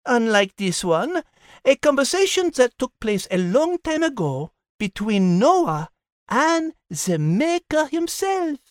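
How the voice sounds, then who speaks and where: A woman's voice: sits high, sing-song, slow, no echo, studio quality, full character voice. A woman voicing a smart female cat co-host with a prim, patient manner. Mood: explaining. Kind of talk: scripted podcast banter.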